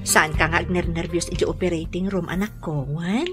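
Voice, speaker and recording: A woman's voice: on the low side, fairly even intonation, moderate pace, music in the background, natural voice. A woman playing a worried, devoted mother and dutiful daughter in an Ilocano radio drama, speaking with earnest concern. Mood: reassuring. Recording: noisy radio drama recording.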